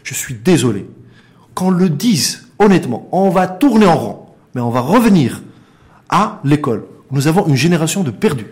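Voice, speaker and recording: A man's voice: a little high, big pitch swings, moderate pace, darkish tone. A middle-aged man from Morocco with a Moroccan accent, speaking as an interview guest in an impassioned, emphatic way, repeating words for stress. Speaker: interview guest, founder and director of an organisation.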